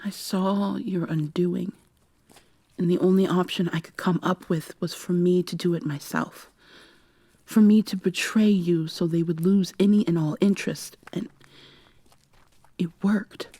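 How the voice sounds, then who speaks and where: Woman voicing a breathy, solemn robot woman. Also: lowish voice, fairly even intonation, dark tone, no echo. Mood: pleading, regretful. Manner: solemn, formal, measured. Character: earnest, regretful robot woman defending her betrayal. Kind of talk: roleplay ASMR audio drama.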